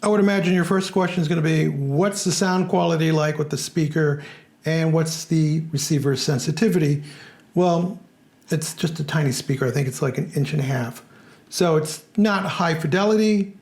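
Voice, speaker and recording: A man narrating a scripted product review in a plain, measured way. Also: fairly high pitch, a little mellow.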